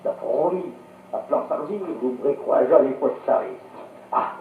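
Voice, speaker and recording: A man with a Savoyard accent giving an animated, theatrical comic recitation in local dialect, on a 1950s radio recording. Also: mid-range voice, moderate pace, far from the mic, a little hiss or hum. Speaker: patois reciter. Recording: echoey, narrow 1950s radio archive.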